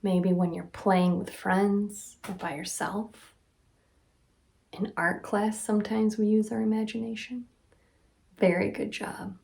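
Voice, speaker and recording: Slow-paced woman reading to children. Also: medium pitch, flat delivery, creaky, darkish tone, breathy edge. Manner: teacherly, asks questions and pauses for answers. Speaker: nutrition educator reading to children. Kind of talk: guided storybook read-aloud for children.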